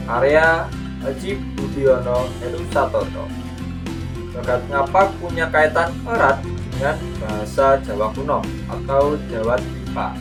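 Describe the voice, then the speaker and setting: A man hosting a podcast, narrating a script in a chatty way that speaks straight to his listeners. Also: medium pitch. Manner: chatty, addresses listeners directly. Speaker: podcast host. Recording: distant mic with music underneath. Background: guitar music.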